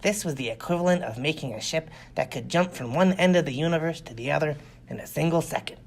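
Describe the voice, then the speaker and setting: A man's voice: fairly high pitch, quick pace, vocal fry, a little hiss or hum. A man reading a first-person science fiction adventure story as scripted audiobook narration. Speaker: audiobook reader.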